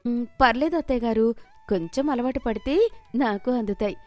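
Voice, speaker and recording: Woman playing a gentle young daughter-in-law. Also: on the high side, moderate pace, dry recording, faint music behind, lightly acted. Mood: politely cheerful. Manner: respectful, calm. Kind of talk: Telugu moral story character voice.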